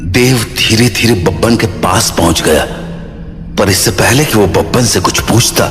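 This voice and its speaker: A man's voice: lowish voice, normal speed. A man narrating a scripted horror story as the storyteller. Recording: long reverb, music behind. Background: background music.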